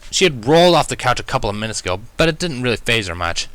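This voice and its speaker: A man reading fan fiction aloud as an animated first-person narrator. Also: mid-range voice, very fast, no echo.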